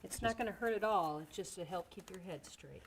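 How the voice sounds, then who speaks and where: A woman's voice: lowish voice, brisk. A woman working as an EMT, explaining care to a child patient in simple, reassuring words. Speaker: EMT with a rescue squad. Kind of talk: educational demonstration talking to a child patient. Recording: noisy, clicks and rustle of gear.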